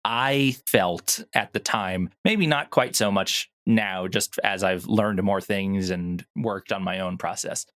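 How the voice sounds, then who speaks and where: A man's voice: sits low, slow, hardly any pauses, fairly bright tone. A man talking as an interview guest in a conversational, explanatory way about his work. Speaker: audio drama producer, interview guest.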